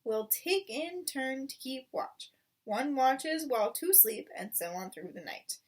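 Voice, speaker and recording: A woman's voice: high-pitched, lively intonation, measured pace, recorded from a distance, pitched above the performer's own voice. A woman voicing a hostile, boastful male farmer in a storybook, commanding and taunting. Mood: scheming.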